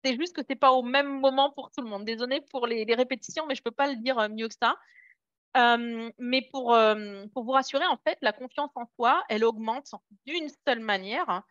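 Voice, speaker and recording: A woman's voice: a little high, moderate pace, fairly bright tone, dry recording, muffled recording. Hesitant, encouraging French-speaking woman trainer. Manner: hesitant, many fillers and restarts, encouraging. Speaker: network marketing team trainer. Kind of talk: casual motivational training talk.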